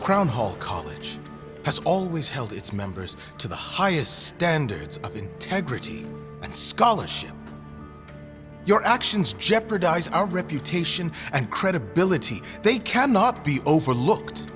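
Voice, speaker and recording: A stern academic official in an audio drama. His voice sits fairly high and swings widely in pitch as he delivers measured, pompous pronouncements. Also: big pitch swings. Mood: grave, formal. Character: stern, formal male vice chancellor of a scholars' guild. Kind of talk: scripted audio drama acting. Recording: music behind the voice.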